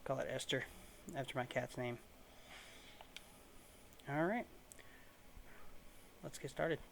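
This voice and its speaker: A man giving informal, unscripted, somewhat halting commentary as he hosts a let's-play video. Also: medium pitch, vocal fry. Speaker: gaming video host. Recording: faint noise in home recording.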